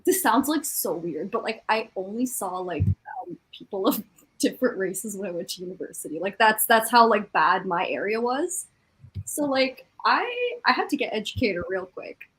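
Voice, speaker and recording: A woman's voice: mid-range voice, animated, normal speed, a bit bright, poor audio. A young adult woman from a French-Canadian town chatting casually, with an informal, exclamatory, sweary way of talking. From small French-Canadian town. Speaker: participant in a live reading stream. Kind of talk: casual group chat.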